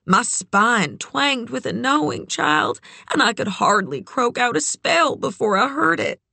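Narrator voicing a grieving, dazed country woman, raised pitch. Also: sing-song, measured pace, vocal fry, bright, forceful tone, no echo, heavily acted. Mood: dazed, mournful. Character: grieving older woman, dazed, folksy, earthy horse keeper.

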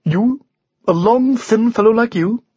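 Man voicing a haughty, husky storybook unicorn, pitched up. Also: sing-song, moderate pace, darkish tone, no echo, dull audio, heavily acted. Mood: boastful, disdainful. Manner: disdainful, self-important. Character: vain, haughty young male unicorn, preening and bossy. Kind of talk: children's storybook character voice.

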